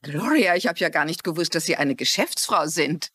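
An actress playing a kindly, shrewd middle-aged crime writer in a German-dubbed mystery drama, speaking politely and inquisitively. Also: female voice, low-pitched, expressive, moderate pace, a bit bright, husky, no echo, heavily acted. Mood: polite, probing.

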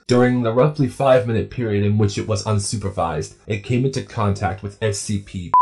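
A man's voice: fairly low pitch, creaky, a little mellow. A man narrating scripted clinical case files in a formal, clinical manner.

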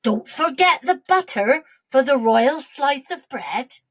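A polite young storybook dairymaid, acted by a woman, speaks deferentially in verse. The voice is high and lilting, with an eager-to-please manner. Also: lively intonation, slow. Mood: obliging.

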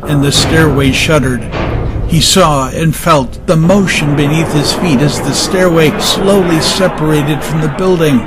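Male storyteller, fairly high voice, dramatic audiobook narration, prominent music bed. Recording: loud music under narration.

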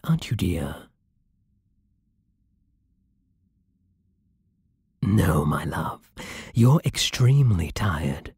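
Breathy, slow British vampire king, acted by a man. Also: lowish voice, dry recording, clean studio sound, heavily acted. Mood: soothing, possessive. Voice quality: soft, breathy, husky. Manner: slow, hypnotic, imperious endearments. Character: possessive, seductive, commanding male vampire king. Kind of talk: intimate ASMR roleplay.

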